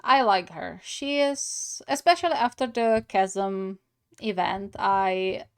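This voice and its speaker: A woman's voice: mid-range voice, takes it slowly, clear, resonant tone. A young adult woman giving casual, musing reaction commentary as a gaming host.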